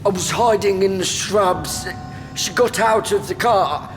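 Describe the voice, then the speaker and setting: Scared childlike henchman, high voice, slow stammery talk, big echoey hall, audio drama. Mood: anxious confession. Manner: halting, fearful, stammering. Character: tall misshapen, scarred, frightened henchman, childlike and servile. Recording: long reverberant hall, music behind.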